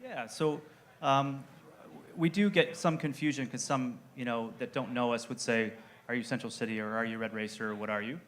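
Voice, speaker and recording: A man representing a brewery on a panel, answering in a relaxed, story-telling way with frequent fillers. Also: medium pitch, normal speed. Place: event room with an audience.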